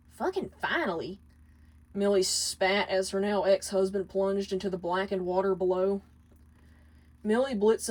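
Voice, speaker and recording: Slow-paced woman narrating a dramatic story. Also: mid-range voice, warm tone, low-quality recording. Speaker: fan fiction reader.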